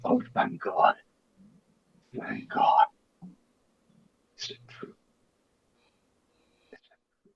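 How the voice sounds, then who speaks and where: A man playing a bitter, vengeful merchant and wronged father in a theatrical Shakespeare reading, declaimed deliberately with emphatic pauses. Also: in a whisper. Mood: eager gloating. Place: online video call reading. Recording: muffled video call audio.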